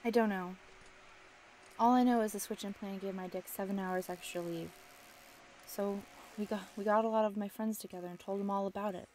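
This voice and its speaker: A woman's voice: mid-range voice, fairly even intonation, brisk, darkish tone, close to an everyday voice. A woman plays a young war widow working in a factory. She is brusque and slangy, holding grief behind impatience. It is old-time radio drama acting, with faint noise on the recording. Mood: grieving. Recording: noisy amateur radio play recording.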